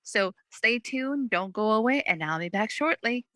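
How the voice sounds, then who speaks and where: A woman's voice: fairly high pitch, expressive, normal speed. A woman hosting an upbeat interview show in an effusive, enthusiastic manner. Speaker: host.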